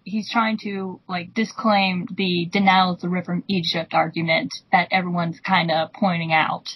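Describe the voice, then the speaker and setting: A woman's voice: fairly low pitch, level tone, moderate pace, slightly rough. A woman co-commenting in casual critical chat, sarcastic and scolding. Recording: slightly dull lo-fi microphone sound.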